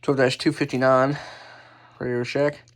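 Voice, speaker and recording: A man presenting his hobby collection in a casual, unscripted way, halting and often correcting himself as he goes. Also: mid-range voice, flat delivery. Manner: halting, offhand, self-correcting. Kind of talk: casual unscripted show-and-tell.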